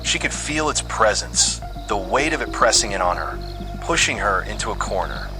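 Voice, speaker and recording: A man's voice: fairly low pitch, big pitch swings, normal speed, poor audio. A man reading a scripted horror story with dramatic, suspenseful delivery. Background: music under the voice.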